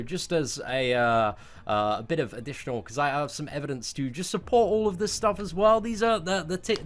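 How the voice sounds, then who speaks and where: A man's voice: on the high side, fairly bright tone. A man in his twenties telling a personal story to viewers in a casual, rambling, sweary way, repeating words for emphasis. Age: 20s. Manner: informal, sweary, rambling, emphatic word repetition. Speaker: YouTube content creator.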